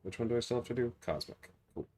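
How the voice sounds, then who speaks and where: A man's voice: medium pitch, fast, mellow. A man hosting a casual gaming livestream, thinking aloud in a rambling way as he chats to viewers. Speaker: livestream host.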